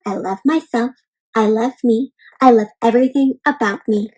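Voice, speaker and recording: A woman voicing an earnest little girl from a children's storybook who is growing confident, with deliberate, affirming delivery. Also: fairly high pitch, a little mellow, husky. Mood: earnest, self-assured.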